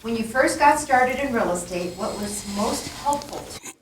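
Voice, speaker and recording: A woman's voice: fairly high pitch, animated, moderate pace, slight background noise. A woman moderating a panel Q&A in a chatty, playful way. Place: meeting room. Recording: echoey room from a distance.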